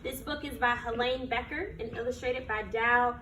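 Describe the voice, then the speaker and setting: An American woman reading a picture book aloud to children like a teacher, with expressive, emphatic delivery and questions to her listeners. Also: fairly high pitch, normal speed. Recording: echoey room, distant mic, background noise.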